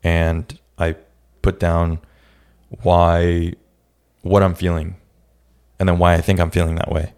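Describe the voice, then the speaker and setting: A young man hosting his own podcast, talking in a casual, reflective way with a raspy voice and many restarts. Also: low voice, monotone, slow pace, pauses often, darkish tone. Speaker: podcast host. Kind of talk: casual solo podcast talk.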